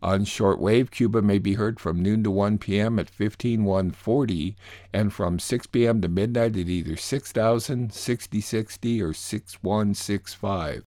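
A man's voice: lowish voice, measured pace, mellow, no room sound, clean studio sound. A man hosting a radio program, reading scripted announcements and news summaries. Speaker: radio show host and producer.

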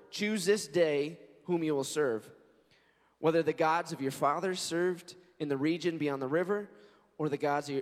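A man in his forties, a pastor, preaching a sermon in an earnest, conversational way and telling stories, his voice hoarse and scratchy. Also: fairly high pitch. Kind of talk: sermon with prayer and scripture reading. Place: church sanctuary. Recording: long reverb of a large church hall.

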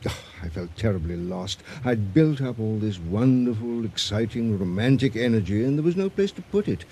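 An actor playing a kindly, gently awkward male teacher in a radio drama, earnest and hesitant. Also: on the low side, expressive, moderate pace. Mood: earnest, coaxing. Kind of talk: 1980s radio drama acting. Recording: noisy vintage radio drama recording.

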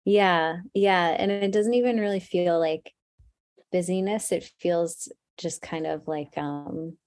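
A woman musician talking in an interview, soft-spoken and thoughtful with many fillers. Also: on the low side, fairly even intonation, slow pace, dry recording. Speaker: musician guest. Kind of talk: casual interview.

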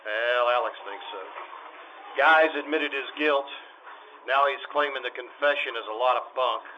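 A man's voice: mid-range voice, normal speed, highs cut off, a little hiss or hum, lightly acted. A man playing a businesslike, patient police detective in an old-time radio drama, with a clipped, dry, matter-of-fact delivery.

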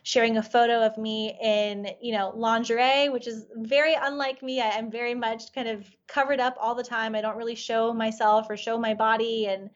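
A woman's voice: fairly high pitch, flat delivery, moderate pace, few pauses, dull audio. A woman whose first language is Farsi, a life coach telling a personal story in an earnest, reflective way with many "you know" fillers. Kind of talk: storytelling in a podcast interview.

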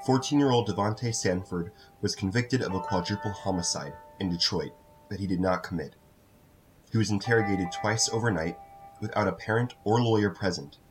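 A man's voice: fairly low pitch, low-quality recording. A man reading scripted introductory narration for a documentary-style audio drama. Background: music.

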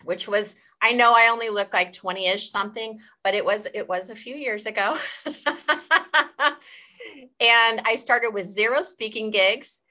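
A woman in her forties introduces her coaching work with a bright, forward tone. She speaks earnestly and encouragingly, with light self-deprecating humor. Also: mid-range voice, fairly even intonation, measured pace. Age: 40s. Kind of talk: guest self-introduction on a call.

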